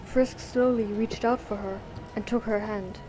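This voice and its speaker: A woman's voice: medium pitch, fairly even intonation, measured pace, a little mellow, soft background music, low-quality recording. A woman reading scripted narration for an audio drama.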